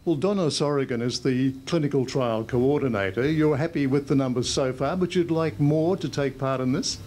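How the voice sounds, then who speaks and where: A man's voice: mid-range voice, moderate pace, a little mellow. A man working as a news reporter, interviewing people on location. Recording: slight background location noise.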